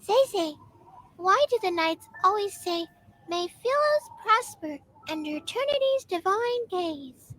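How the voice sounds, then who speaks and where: A woman's voice: very high voice, animated, measured pace, fairly bright tone, no echo, pitched above the performer's own voice, heavily acted. A woman voicing an innocent, curious small child in a game roleplay.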